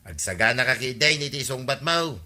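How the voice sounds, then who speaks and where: A man's voice: mid-range voice, animated, fairly quick, sharp tone. A man with an Ilocano accent playing a grumbling, put-upon village husband in a radio comedy drama. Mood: reproachful.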